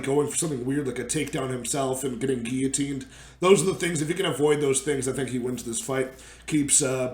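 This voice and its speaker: A man with a hoarse, gravelly voice that is fading, running through his betting picks in a loose, casual way. He leans on fillers and restarts, and his mid-pitched voice sounds in an echoey room. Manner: filler-heavy, restarts often. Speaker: sports betting host.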